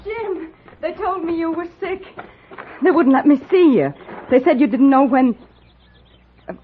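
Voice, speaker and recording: An actress playing an anxious young sweetheart in a radio western, earnest and faltering. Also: female voice, high-pitched, measured pace, slight background noise. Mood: anxious, pleading. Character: anxious, hesitant young sweetheart of an outlaw soldier. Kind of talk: 1950s radio western acting. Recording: tinny old radio recording.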